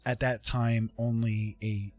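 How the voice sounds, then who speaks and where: A middle-aged American man chatting reflectively on a livestream, speaking earnestly and emphatically with halting pauses. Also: mid-range voice, level tone. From Indiana, United States. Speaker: livestream host. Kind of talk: casual reflective livestream chat.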